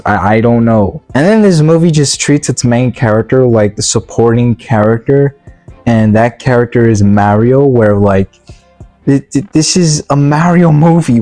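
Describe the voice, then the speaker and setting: A young man gives a blunt, rambling review, stumbling over fillers and restarts. His voice is mellow and sounds tired and worn. He is recorded close and dry, with faint music underneath. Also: mid-range voice, dry recording. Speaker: video game movie reviewer. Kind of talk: casual spoken review.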